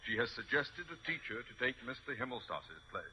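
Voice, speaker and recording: An actor playing a pompous, bossy school principal with an orotund, theatrical delivery in a radio sitcom. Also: male voice, mid-range voice, big pitch swings, brisk, bright, forceful tone. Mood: pompous, dismissive. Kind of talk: 1950s American radio sitcom acting. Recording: dull old radio recording, faint noise.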